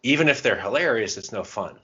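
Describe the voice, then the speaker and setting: A man's voice: lowish voice, animated, fairly quick, pushed and bright, breathy edge. A man co-hosting a podcast in a casual, talkative way, explaining his advice through examples and anecdotes. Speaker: podcast co-host. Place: podcast recording. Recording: dull, muffled lo-fi sound.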